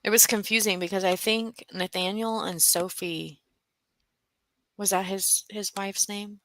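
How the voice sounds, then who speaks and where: Bright-voiced woman chatting about a book. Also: medium pitch, steady tone, unhurried, no room sound, low-quality recording. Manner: chatty, thinks aloud, frequent fillers and restarts. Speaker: co-host of a book club livestream. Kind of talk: casual chat, reading out viewer comments.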